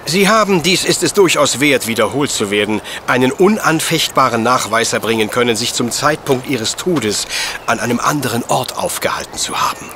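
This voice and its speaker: A courteous professor detective in a radio drama, with a bright, incisive middle-pitched voice. He phrases everything in ornate, measured, logical sentences. Faint ambience sits behind him. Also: expressive, a little hiss or hum. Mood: politely probing. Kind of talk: German radio play acting.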